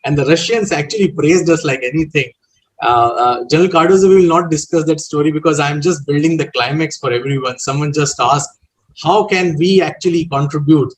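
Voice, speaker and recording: Male moderator, Indian accent, slightly high pitch, effusive and respectful, steering a live book discussion. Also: brisk, low-quality recording.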